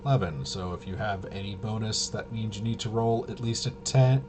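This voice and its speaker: Casting: male rules explainer, lowish voice, halting off-the-cuff delivery that trails off, noticeably noisy recording. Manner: halting, trails off and self-corrects. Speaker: host. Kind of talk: casual rambling tabletop game rules walkthrough. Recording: clear steady background noise.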